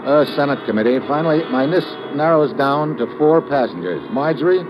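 A man's voice: fairly high pitch, lively intonation, brisk, dull audio, background noise, natural voice. An actor playing a tough, wry American agent who narrates his case in a clipped, dry manner, in 1950s radio adventure style. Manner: clipped, hard-boiled, dry. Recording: vintage radio recording with music behind.

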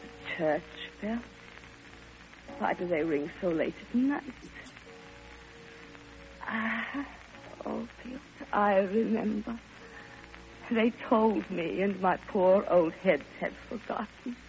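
An actress playing a grieving, lovelorn older woman in a classic radio drama, speaking in a halting, theatrical and impassioned way. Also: mid-range voice, a little mellow. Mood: grieving longing. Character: grieving, lovelorn old woman pouring out her heart. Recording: muffled vintage radio recording with music.

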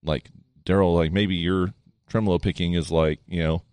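A man with a low voice, a musician, reflecting thoughtfully and haltingly in casual chat. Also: steady tone, a little mellow, smooth, dry recording. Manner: thoughtful, halting, many restarts.

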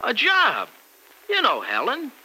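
An actor playing an earnest, plain-spoken young farmer with folksy, insistent delivery in an old-time radio soap. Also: male voice, very high voice, huge pitch swings, slow pace, bright, forceful tone, slightly rough, full character voice. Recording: noisy vintage radio recording.

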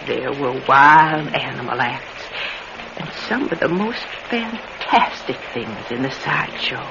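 A man's voice: mid-range voice, expressive, takes it slowly, creaky. An actor playing an elderly retired circus performer in a radio drama, a talkative, wary old man with a folksy, wistful way of rambling into stories. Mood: animated, nostalgic. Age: older. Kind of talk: classic radio drama acting. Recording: dull, noisy vintage radio recording.

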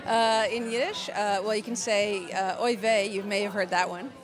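A woman's voice: mid-range voice, fairly even intonation, normal speed. An American woman hosting a travel show, chatting with curiosity and enthusiasm and asking lots of questions. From United States. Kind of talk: casual chat. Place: busy bar. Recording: loud bar music and crowd chatter. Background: music and crowd.

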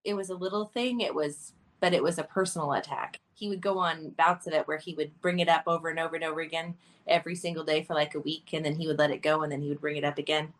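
A woman's voice: sits low, steady tone, quick pace, hardly any pauses. A woman co-hosting a podcast, talking earnestly and breaking into laughter often. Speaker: podcast co-host. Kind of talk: candid conversational podcast talk.

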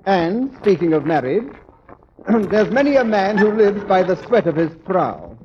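A dull, noisy vintage radio recording carries a corny comic working through old jokes. He is mannered and formal, with a high male voice that moves around a lot. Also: sing-song, a little slow. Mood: corny, self-assured. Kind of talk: 1940s radio comedy monologue.

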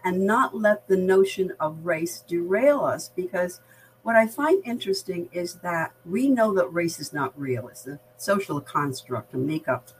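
An older woman with an American accent and a slightly low-pitched voice talks in a reflective interview. She explains things in a measured, articulate way. Clear background noise and hum sit behind her. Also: animated, poor audio. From Barbados. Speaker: veteran diversity practitioner, podcast guest.

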